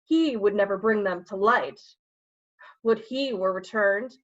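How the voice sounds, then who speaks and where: A woman acting a bawdy, slanderous gentleman rogue in a staged Shakespeare reading, with a cheeky, insinuating, theatrical delivery. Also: medium pitch, measured pace, muffled recording, lightly acted. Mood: bawdy, jaunty.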